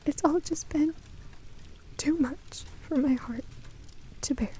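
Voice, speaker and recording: A woman's voice: sits high, takes it slowly, warm tone, pitched above the performer's own voice. A woman voicing a grieving mother in an audio drama, speaking with sobbing, emotional delivery. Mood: anguished. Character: grieving mother, anguished then tearfully hopeful.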